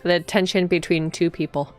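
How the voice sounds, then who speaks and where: A woman streaming a visual novel, reading along and tossing in expressive, indignant casual asides. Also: fairly low pitch, moderate pace, soft background music. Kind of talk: reading game dialogue aloud with casual live reactions.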